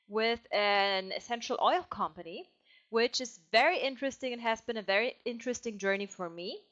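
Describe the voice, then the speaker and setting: A woman's voice: a little high, bright, forceful tone. A German woman co-hosting a podcast in English, speaking in a friendly, hesitant way with many fillers. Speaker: podcast co-host. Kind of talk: casual conversational podcast. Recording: slightly dull, lo-fi sound.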